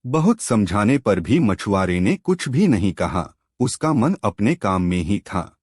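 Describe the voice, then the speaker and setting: Slow, expressive male storyteller. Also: on the low side, slightly rough, dry recording, studio quality. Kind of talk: moral storytelling.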